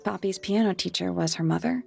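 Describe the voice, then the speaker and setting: A sensible, wry detective sidekick from a mystery game. Her voice is slightly low with noticeable fry. She delivers everything deadpan over faint music. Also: fairly quick. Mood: dry, probing. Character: dry, sensible young female detective sidekick. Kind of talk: video game voice acting.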